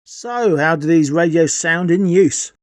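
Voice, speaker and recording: A man's voice: on the high side, measured pace, no room sound. A middle-aged man presenting an enthusiast equipment review in an unscripted, somewhat hesitant explaining manner. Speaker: video presenter.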